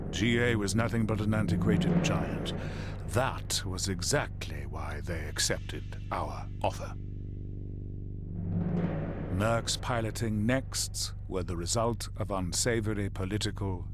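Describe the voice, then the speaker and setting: Actor as deep-voiced weary male mercenary, solemn, disdainful, video game story narration, loud music underneath. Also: natural voice. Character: grave, world-weary male mercenary recalling a war.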